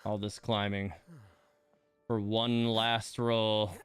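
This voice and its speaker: A man's voice: fairly low pitch, flat delivery, slow pace, clean tone, no room sound, soft background music. A man giving casual livestream game commentary, rambling and muttering to himself as he plays.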